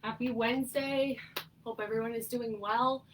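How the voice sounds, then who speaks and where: A young woman occupational therapist leading a seated exercise class, her voice nasal and stuffed up, giving upbeat cues and steady countdowns. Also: fairly high pitch, moderate pace, distant mic, slight background noise, rough recording. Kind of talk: instructional exercise cueing with countdowns.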